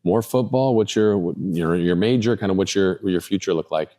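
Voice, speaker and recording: A man's voice: sits low, moderate pace, warm tone. A man hosting a sports podcast, asking questions in a casual, conversational interview style.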